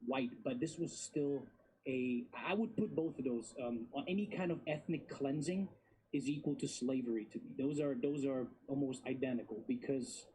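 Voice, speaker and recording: Guy in his 30s from bosnia, talks emphatic and repeats stuff, warm low tone. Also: moderate pace, breathy edge, poor audio. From Bosnia and Herzegovina. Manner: emphatic, repeats words, addresses listener as man. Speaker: guest. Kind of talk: casual interview talk.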